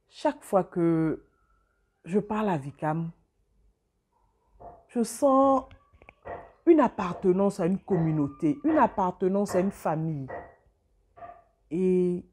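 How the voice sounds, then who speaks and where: Mellow-voiced woman, calm and reflective. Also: fairly low pitch. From Côte d'Ivoire. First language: Avikam. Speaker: interviewee, mother. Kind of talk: interview testimony.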